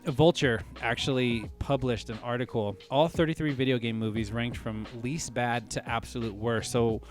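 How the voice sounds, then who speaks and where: Casting: American male host, late 30s, medium pitch, loose casual chat, faint music bed. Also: fairly even intonation, moderate pace. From California, United States. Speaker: podcast host.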